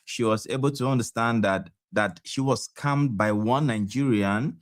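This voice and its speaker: A man hosting a discussion, speaking deliberately with many uh fillers. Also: mid-range voice, no room sound, clean studio sound. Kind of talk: discussion summary.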